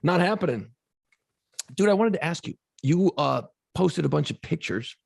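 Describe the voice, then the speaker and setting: A man's voice: on the high side, moderate pace, creaky, slightly rough, no room sound, clean studio sound. A man, co-hosting a podcast in casual chat, telling profane stories with frequent laughs. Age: 50s. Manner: profane, laughing, animated storyteller. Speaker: podcast co-host.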